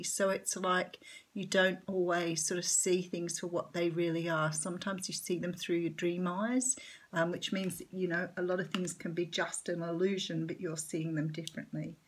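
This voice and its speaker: A woman with an Australian accent giving a chatty tarot reading, her voice a little croaky this morning, with lots of ums. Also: fairly low pitch. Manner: chatty, warm-hearted, rambling with many ums. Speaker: tarot reader. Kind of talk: casual tarot reading vlog.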